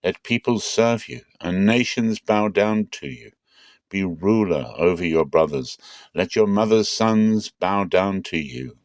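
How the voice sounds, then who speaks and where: A man playing an aged patriarch in a scripture reading, slow and weighty, a searching and solemn father. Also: low-pitched, dry recording. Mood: solemn blessing.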